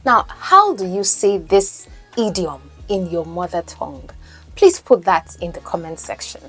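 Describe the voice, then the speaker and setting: A woman's voice: on the low side, big pitch swings, unhurried, fairly bright tone, slightly rough, faint music behind. Nigerian woman coaching English. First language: Yoruba. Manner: explanatory, asks the listener questions. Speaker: English language coach and podcast host. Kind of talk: teaching lesson, mixing in Pidgin.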